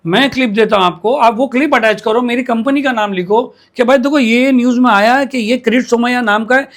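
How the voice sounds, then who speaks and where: A man's voice: very high-pitched, a little fast, fairly bright tone. A man interviewing for a news channel, arguing insistently, cutting in and repeating phrases for emphasis. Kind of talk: animated news interview, arguing his point.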